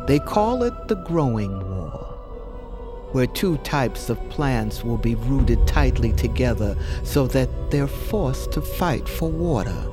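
A grave fantasy storyteller in an audio drama. He narrates very slowly and poetically, with wide swings of intonation, over music. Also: medium pitch, very animated. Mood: solemn, portentous. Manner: deliberate, portentous. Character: solemn, poetic male storyteller of fantasy lore.

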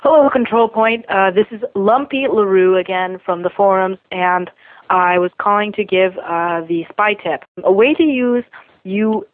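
A woman's voice: medium pitch, measured pace, soft background music. A woman calling in to a podcast, leaving a casual voicemail with a gaming tip. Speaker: listener calling in. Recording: tinny telephone voicemail line.

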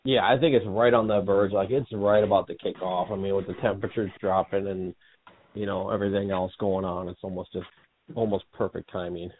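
A man from Wisconsin on a hunting radio show, describing the deer season in a measured way. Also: low voice, level tone, slightly rough, phone-quality sound.

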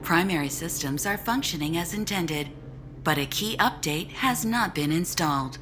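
A woman playing a calm, polite ship's computer in an audio drama, speaking in formal, measured, even tones. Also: on the low side, slight background noise. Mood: calm, matter-of-fact.

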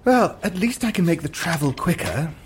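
A man's voice: fairly high pitch, lively intonation, moderate pace, a little hiss or hum, voice pushed up, lightly acted. A man voicing an eager, fawning and nervous actor turned adventurer in a story, politely hesitant and given to stammering. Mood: bright, coaxing.

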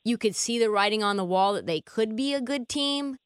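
A woman's voice: fairly high pitch, animated, a little fast, clean tone, no echo, studio quality. A woman hosting a sports talk show in a conversational style.

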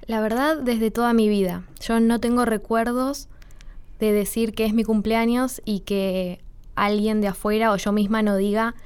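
Young woman, clear voice. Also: on the high side, fairly even intonation, unhurried, a little mellow. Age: 20s. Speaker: interview guest. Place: radio studio.

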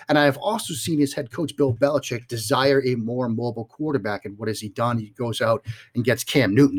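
Boston-accented man talking football. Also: medium pitch. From Boston area, United States. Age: 40s. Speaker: guest football analyst. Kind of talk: conversational sports analysis.

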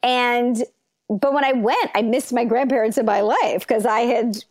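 A middle-aged woman with an American accent telling her life story as an interview guest, animated and quick to jump up in pitch for emphasis. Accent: General American. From London, then Los Angeles from age nine. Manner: animated, rising to high emphasis, laughs while talking.

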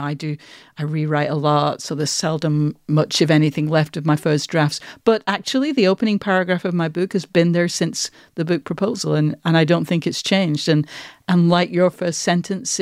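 A woman's voice: fairly low pitch, darkish tone, no room sound. A woman podcast host speaks in a thoughtful, conversational way, choosing her phrases with care. Her voice is recorded close and dry, with no room around it.

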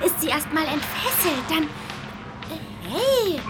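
A woman's voice: high-pitched, very animated, takes it slowly, music in the background, heavily acted. A woman playing an inquisitive, earnest little girl passenger in a children's audio drama. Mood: enthusiastic.

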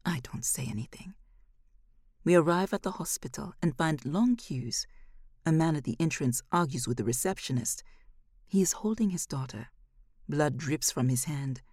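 A woman narrating scripted material as a podcast host, with a measured, expressive delivery. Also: deep voice, breathy edge, dry recording, studio quality.

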